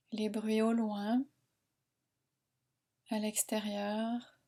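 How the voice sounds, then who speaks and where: A woman guiding a meditation in a soothing, unhurried, instructing manner with long pauses. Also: fairly high pitch, darkish tone. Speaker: relaxation and yoga nidra guide. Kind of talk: guided meditation narration.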